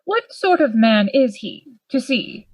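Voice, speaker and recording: A woman playing a cautious older Victorian gentleman in a radio play, measured, probing and reserved. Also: fairly high pitch, sing-song, no room sound. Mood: probing, guarded. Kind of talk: gothic radio play acting.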